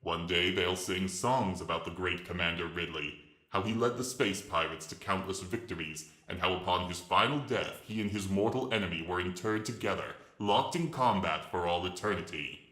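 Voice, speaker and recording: Very deep, mocking reptilian villain. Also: male voice, normal speed, few pauses, bright, forceful tone. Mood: grandiose. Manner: mocking, drawling, grandiose. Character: massive reptilian villain, mockingly courteous, grandiose space pirate commander. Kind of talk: dramatic reading of a sci-fi story. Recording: echoing, reverberant voice track.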